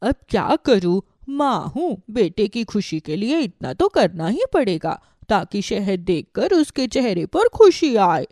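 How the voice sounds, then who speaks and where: A woman's voice: mid-range voice, sing-song, a little slow, few pauses, dark tone, no room sound, studio quality. A woman voicing a devoted, chatty animal mother in a children's cartoon, earnest as she explains. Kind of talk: Hindi children's cartoon dialogue.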